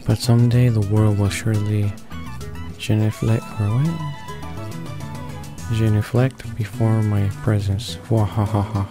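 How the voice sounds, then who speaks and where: Guy reading a visual novel out loud, deep-ish and flat, stumbles over words, game music playing behind. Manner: flat, halting read with stumbles and repeats. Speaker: let's play host reading along.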